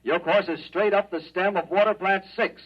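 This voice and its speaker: An actor playing a brisk commanding launch leader in 1950s radio drama style, clipped and official. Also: male voice, high voice, fairly even intonation. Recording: dull lo-fi radio sound.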